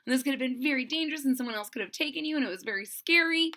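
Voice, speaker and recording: An affectionate little girl from a storybook, acted out by a woman. She sounds high and bright, and she speaks with earnest emphasis. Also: animated, racing. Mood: gently scolding. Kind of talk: storybook read-aloud.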